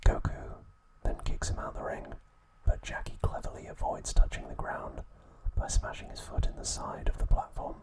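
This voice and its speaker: Whispering man narrating ASMR trivia. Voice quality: breathy, unvoiced whisper. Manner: hushed, measured delivery in short phrases. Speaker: ASMR host.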